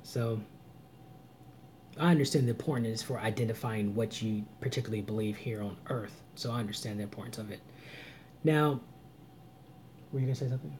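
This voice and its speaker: A man in his late thirties giving earnest, preachy relationship advice on a live vlog, swinging between loud emphasis and low murmurs. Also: a little fast, pauses often, a little hiss or hum. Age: 30s. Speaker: vlogger. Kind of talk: live-stream advice chat.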